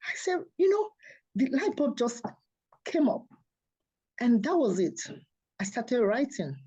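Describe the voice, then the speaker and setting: Earnest woman guest, warm mellow tone, dull lo-fi line. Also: fairly high pitch, big pitch swings, measured pace, husky. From Nigeria. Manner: soft-spoken, earnest, laughs often. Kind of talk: interview guest telling her story.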